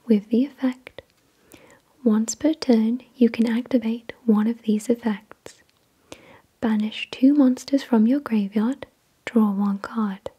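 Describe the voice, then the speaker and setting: A woman whispering in a breathy, unvoiced voice, reading in a gentle, measured ASMR style. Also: fairly high pitch. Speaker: ASMR host. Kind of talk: whispered ASMR card unboxing, scripted reading.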